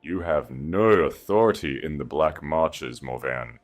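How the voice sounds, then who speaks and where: A man voicing an icy, measured exiled duke in an audiobook, smooth and controlled. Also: very deep voice, animated, faint music behind. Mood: quietly threatening. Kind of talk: audiobook character voice.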